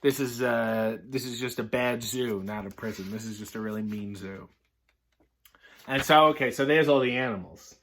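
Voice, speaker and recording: A man reading a children's picture book aloud, breaking off for sardonic, deadpan comic asides. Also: mid-range voice, moderate pace, a little hiss or hum.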